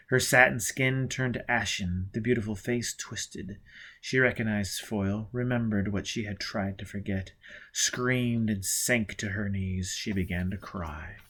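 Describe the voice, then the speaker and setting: A man reads a novel aloud in a measured, subdued voice. His pitch is middling and even. The delivery is plain scripted narration. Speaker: audiobook narrator.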